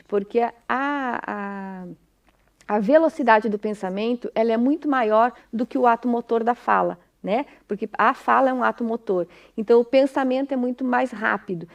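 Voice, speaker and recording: A woman's voice: on the high side, darkish tone. Brazilian woman explaining things conversationally. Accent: Brazilian Portuguese. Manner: conversational, didactic, frequently checks in with tag questions. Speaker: speech therapist giving guidance to parents. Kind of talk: informal explanatory talk.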